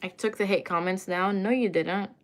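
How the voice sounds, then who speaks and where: A woman's voice: medium pitch, moderate pace. Chatty woman livestreaming while doing makeup. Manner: chatty, rambling, many fillers and asides. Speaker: livestreamer answering chat.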